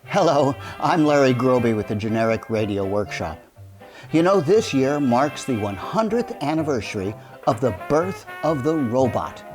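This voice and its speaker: A man's voice: mid-range voice, big pitch swings, moderate pace. A man hosting a radio drama, giving a light, conversational scripted introduction. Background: music.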